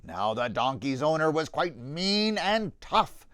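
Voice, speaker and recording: A man, a pastor, reading a rhyming children's story aloud in a dramatic, deliberate, sing-song manner. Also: on the high side, very animated, a bit bright, dry recording.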